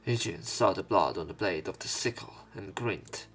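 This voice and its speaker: Low-voiced man narrating a visual novel. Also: moderate pace, fairly bright tone. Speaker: let's play narrator. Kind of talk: dramatic read-aloud narration with casual commentary. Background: game music.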